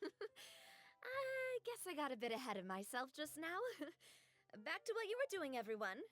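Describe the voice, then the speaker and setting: A bold young female gang leader with a high, lively voice that swoops up and down. She is fiercely loyal and animated, with soft game music behind. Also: full character voice. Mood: sheepish. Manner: animated and protective, quick to anger, laughs it off. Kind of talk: game voice acting.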